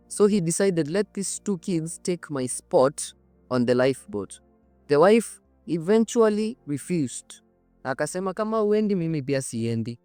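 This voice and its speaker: A man's voice: a little high, a little mellow, no echo. A man with a Kenyan accent hosting a casual, dramatic storytelling show, speaking conversationally and emphatically and repeating phrases for effect. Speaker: storytelling host.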